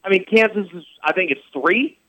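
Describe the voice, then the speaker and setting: A man's voice: high-pitched, normal speed, fairly bright tone, no echo. A man hosting sports radio talk, emphatic and repetitive, firing off rhetorical questions. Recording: dull radio studio sound, dry.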